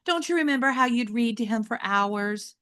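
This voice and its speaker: A woman voicing a patient, apologetic mother who speaks gently and gets a little flustered, higher than her own voice. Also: lively intonation, moderate pace. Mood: gentle.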